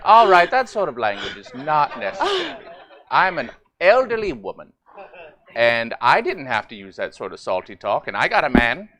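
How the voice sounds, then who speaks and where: A woman's voice: low-pitched, wildly swooping pitch, normal speed, fairly bright tone, full character voice. A woman playing a scolding, easily offended elderly woman in improvised stage comedy, indignant and sarcastic.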